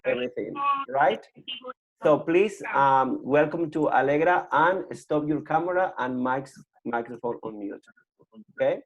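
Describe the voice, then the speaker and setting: A man's voice: on the high side, expressive, measured pace. A man informally hosting and moderating an online meeting, heard through muffled video-call audio. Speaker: host introducing a presenter.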